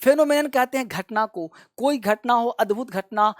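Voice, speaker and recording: A man's voice: very high voice, normal speed, dry recording, clean studio sound. A male English vocabulary teacher who gives a classroom-style lesson, repeats each word and has his students say it after him, and calls them his children. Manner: addresses viewers fondly as children.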